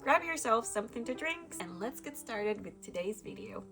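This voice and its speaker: A woman's voice: a little high, sing-song, moderate pace, music in the background. A woman from Guatemala, hosting a casual spiritual talk to camera, reflective, with frequent laughs and restarts. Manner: reflective, laughs often, many fillers and restarts. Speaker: spiritual channel host.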